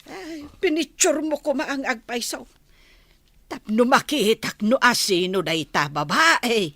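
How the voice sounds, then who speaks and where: Actress doing gossipy older ilocano auntie, excitable, voice jumps all over, bright n dry, radio comedy. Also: female voice, on the high side, unhurried, dry recording, heavily acted. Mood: scandalized.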